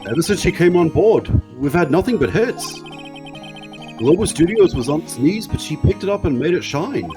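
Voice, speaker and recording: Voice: mid-range voice, sing-song, moderate pace, lightly acted. A streamer playing a timid, sad male murder suspect in an amateur live game dub, speaking hesitantly. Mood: explanatory. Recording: music playing behind the voice.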